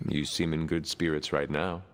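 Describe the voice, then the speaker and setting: An actor playing a formal, old-fashioned young man in a video game, the son of a demon queen, with a gravelly voice. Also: a bass voice, little pitch movement, normal speed, soft background music. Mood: calm. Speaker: game character. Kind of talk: video game cutscene acting.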